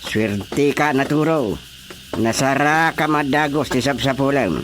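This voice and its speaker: A male actor playing an excitable, exclamatory village chairman in a radio comedy drama. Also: medium pitch, a little slow, close to an everyday voice. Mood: guiding. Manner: animated, exclamatory. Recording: loud chirping background noise.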